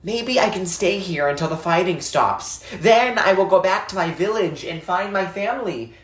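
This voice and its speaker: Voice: mid-range voice, moderate pace, voice pushed up, close to an everyday voice. A storybook narrator voicing a frightened, polite young refugee boy, earnest and anxious. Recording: echoey, roomy sound.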